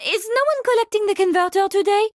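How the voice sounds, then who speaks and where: A woman's voice: extremely high pitch, fast talker, no echo, clean studio sound. An actress voicing a polite yet sinister woman virologist in a video game, with a French accent and a theatrical, articulate delivery. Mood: brisk, polite. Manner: theatrical, articulate, mock-courteous. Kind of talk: video game character voice lines.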